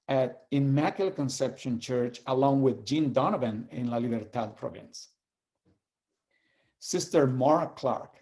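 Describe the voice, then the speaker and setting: A man from El Salvador, giving an earnest, measured reflection and reading aloud with pauses. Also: mid-range voice, mellow. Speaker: community organizer sharing a reflection.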